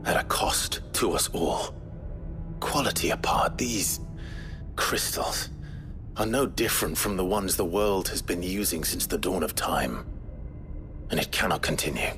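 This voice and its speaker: An actor playing a resolute warrior in a fantasy video game, serious and dryly understated. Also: male voice, low-pitched, unhurried, long pauses, over music. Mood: grave, resolute. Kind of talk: video game cinematic drama.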